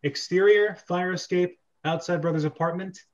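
A man's voice: sits high, fairly even intonation, moderate pace, dull audio. A man hosting a script-reading club over a video call, talking casually.

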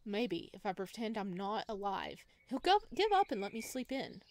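A woman's voice: mid-range voice, big pitch swings, moderate pace, darkish tone, slight background noise. A woman streaming a visual novel playthrough, reading aloud expressively with playful, chatty reactions. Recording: music playing behind the voice.

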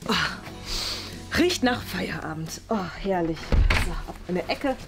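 A woman's voice: on the low side, expressive, takes it slowly. A young woman playing a laid-back teacher off duty in a comedy sketch, talking to herself with theatrical sighs and exclamations. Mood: relieved, carefree. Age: young adult. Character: laid-back female teacher enjoying her evening off. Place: small bright furnished room indoors. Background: music.

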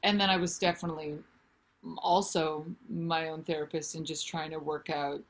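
A woman's voice: sits low, moderate pace. A woman in her thirties, a singer-songwriter and author, talking candidly and animatedly over a video call from home. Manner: candid, animated, gesturing with hands. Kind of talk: casual interview chat. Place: home room with brick wall.

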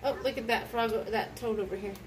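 A woman's voice: a little high, fairly even intonation, a little fast. A woman chatting casually through a picture book read-aloud with a child, exclaiming and prompting with questions. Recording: distant mic with clear background noise.

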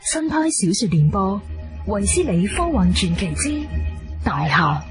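A woman announcing a radio drama in suspenseful, measured scripted narration. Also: medium pitch, big pitch swings. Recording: loud music under the voice.

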